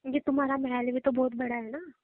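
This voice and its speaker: A woman's voice: sits high, level tone, fairly quick, natural voice. A woman playing a hot-tempered, stubborn young wife in an audio drama, who argues with her husband in snappy retorts and stammered repeats. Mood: angry, sulky. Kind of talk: audio roleplay drama, conversational. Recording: narrow phone-like sound.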